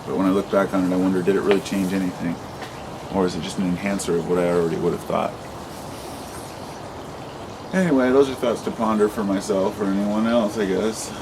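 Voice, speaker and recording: A man in his late thirties speaks in a deep, low voice, rambling and impassioned as he vents in an unscripted vlog. He swears freely and often restarts his sentences. Steady traffic noise runs clearly behind him. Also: fairly quick, pauses often. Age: 30s. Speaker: vlogger. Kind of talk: unscripted monologue to camera.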